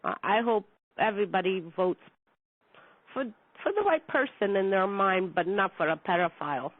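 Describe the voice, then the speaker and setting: A woman's voice: mid-range voice, animated, moderate pace, no room sound. A woman calling in over an internet line to give her opinion, speaking in an earnest, firm manner. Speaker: caller. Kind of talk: opinion comment on a call-in. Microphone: Skype web call. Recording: dry narrow internet call audio.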